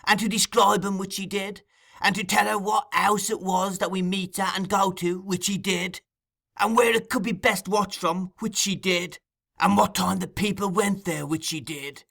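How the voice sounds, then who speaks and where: A man voicing a cunning, raging old male crime boss in an audiobook, insistent and feverish, building to shouts. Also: extremely high pitch, moderate pace, gravelly, hardly any pauses, husky, pitched above the performer's own voice, exaggerated voice. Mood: frenzied. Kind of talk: audiobook character acting.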